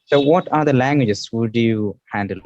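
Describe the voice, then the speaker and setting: A man interviewing a guest, asking questions in slow, searching English. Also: medium pitch, darkish tone, no room sound, highs cut off.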